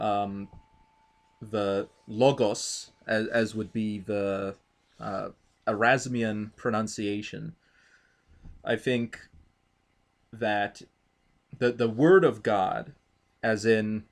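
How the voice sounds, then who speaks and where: A man explaining theology thoughtfully in conversation, deliberate and halting, with formal wording. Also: fairly low pitch. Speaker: interviewer.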